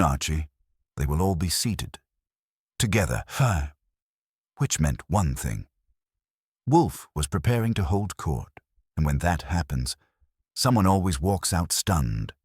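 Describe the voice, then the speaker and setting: A man's voice: rumbling low, darkish tone, slightly rough, no room sound, clean studio sound. A man reading a scripted first-person detective story as an audiobook narrator.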